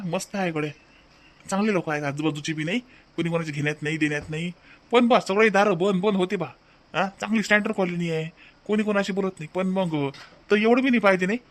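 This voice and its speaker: High-voiced folksy older Vidarbha father-in-law played by a man. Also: close to an everyday voice. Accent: Marathi, Vidarbha. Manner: rambling, folksy, repeats phrases. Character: chatty, easygoing older rural father-in-law. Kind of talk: rustic cartoon comedy dubbing.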